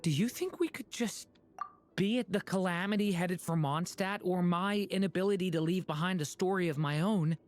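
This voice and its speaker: A woman voicing a gentle, anxious, earnest young boy in a video game, speaking softly and hesitantly. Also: fairly low pitch, moderate pace, soft background music, lightly acted. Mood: pensive.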